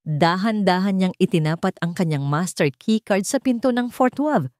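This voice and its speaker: A woman's voice: medium pitch, animated, normal speed, a little mellow, no room sound, clean studio sound. A woman reading scripted dramatic narration of a romance story.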